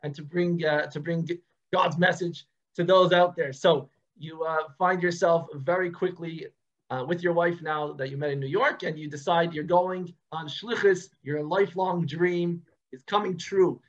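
A man's voice: on the high side, steady tone, normal speed, highs cut off. A man hosting a podcast, asking questions in an upbeat, encouraging way. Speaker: podcast host. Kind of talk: podcast interview.

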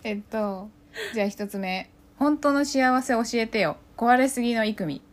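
A woman's voice: on the high side, expressive, moderate pace. A woman in her thirties co-hosting a casual chat, speaking in a matter-of-fact way with dry reactions. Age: 30s. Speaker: podcast co-host. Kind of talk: casual chat between friends.

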